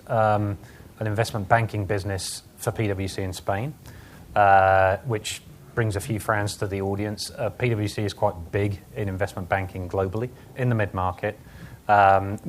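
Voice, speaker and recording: An English man introducing himself as a panelist, measured and matter-of-fact, with filler pauses. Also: fairly low pitch, slight background noise. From England. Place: conference hall.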